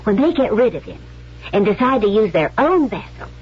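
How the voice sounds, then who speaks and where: A woman's voice: medium pitch, very animated, brisk, breathy edge. An actress playing a bold, cunning woman spy in a radio drama, resolute and persuasive. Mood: determined, scheming. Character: bold, cunning female actress turned Civil War spy. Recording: muffled vintage radio recording.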